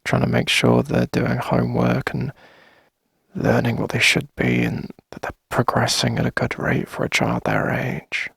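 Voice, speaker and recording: Casting: male actor as soft-spoken, coaxing boyfriend for intimate ASMR, very breathy and husky, animated pitch, close dry mic. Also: dry recording, heavily acted. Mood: tender, hopeful. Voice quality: husky, breathy, creaky low murmur. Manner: gentle, coaxing, lingering pauses. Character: tender, reassuring boyfriend longing to start a family. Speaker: ASMR audio roleplay performer. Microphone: close dry microphone.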